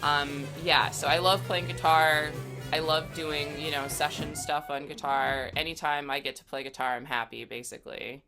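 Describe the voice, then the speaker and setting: A woman's voice: deep voice, steady tone, normal speed, over music. A young adult woman, a musician being interviewed, speaking casually in a laid-back conversational way. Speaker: musician guest, singer and guitarist.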